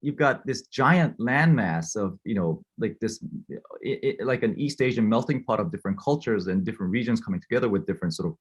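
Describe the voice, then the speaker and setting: Male guest from Korea: husky, animated delivery, talks things through at length over an earbud mic. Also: on the low side, a little fast. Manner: thoughtful, explaining at length. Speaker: guest, watch collector. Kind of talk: casual interview chat.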